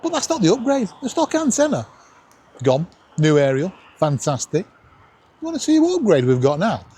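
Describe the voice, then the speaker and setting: Male vlogger, Northern English accent. Slightly rough, husky tone, highly animated intonation, cheeky self-mocking chat. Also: normal speed. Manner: jokey, animated, self-deprecating. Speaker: vlog host. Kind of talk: casual vlog chat. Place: outdoors at night on a seafront promenade. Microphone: camera worn around the neck.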